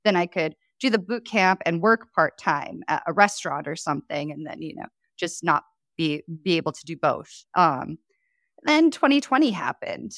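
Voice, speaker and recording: A woman's voice: low-pitched, very animated, normal speed, fairly bright tone, no room sound, clean studio sound. A woman from Chicago telling her story as a podcast guest in a chatty way, with many fillers. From Chicago, United States. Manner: chatty, frequent fillers and laughs. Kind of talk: casual storytelling interview.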